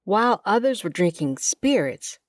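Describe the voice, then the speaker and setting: A woman reading first-person fiction as an audiobook narrator, in an expressive, deliberate storytelling manner with measured phrasing. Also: mid-range voice, dry recording, studio quality. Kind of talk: first-person scripted fiction narration of a cozy mystery, in character.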